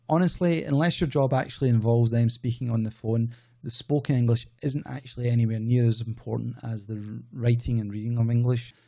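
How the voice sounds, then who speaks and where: A man's voice: mid-range voice, gravelly, warm tone, breathy edge. A man with a Scottish accent, hosting his own channel and talking casually to camera, rambling and correcting himself as he goes. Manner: rambling, self-correcting, conversational. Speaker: outsourcing business channel host.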